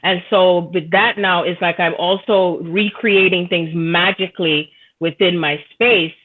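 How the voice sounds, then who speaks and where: A woman's voice: on the low side, steady tone, a little slow. Woman on a tinny phone line. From Jamaica. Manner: rambling, earnest, many fillers and restarts. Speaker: podcast guest, spiritual reader. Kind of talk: casual spiritual conversation.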